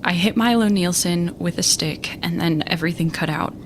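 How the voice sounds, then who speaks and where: An actress playing a young female starship captain, shaken and stubborn, telling her story in a halting, earnest monologue for an audio drama. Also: fairly low pitch, slight background noise, natural voice. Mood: rueful, earnest. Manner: halting, earnest, self-interrupting. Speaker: lead character recounting events in a broadcast. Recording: music bed under the voice. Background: music.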